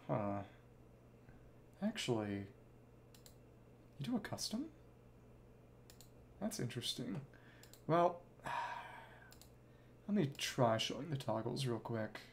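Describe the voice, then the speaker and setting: A man's voice: medium pitch, sing-song, slow, vocal fry, pauses often, a little hiss or hum. A man giving casual livestream commentary on a game, thinking aloud in clipped fragments and reading bits of dialogue. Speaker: livestreamer, virtual avatar host.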